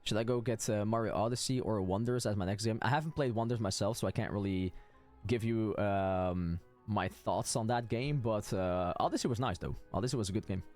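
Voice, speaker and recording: A man's voice: lowish voice, fairly quick, a little mellow. A man streaming a game with casual commentary and chat, often stammering and repeating words. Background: game music.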